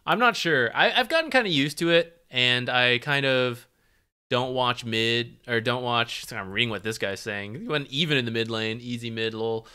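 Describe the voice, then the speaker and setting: A man's voice: medium pitch, normal speed, bright, forceful tone, clear, resonant tone. A young man from the United States streaming as he reviews game replays and reads chat, laid-back and thinking aloud. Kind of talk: casual commentary while reading chat.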